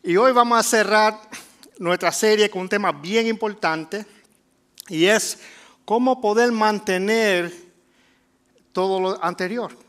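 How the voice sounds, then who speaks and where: A middle-aged man from Puerto Rico with a Puerto Rican Spanish accent, preaching a sermon in a conversational way with frequent filler pauses. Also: high voice, a bit bright, clear, resonant tone. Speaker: pastor.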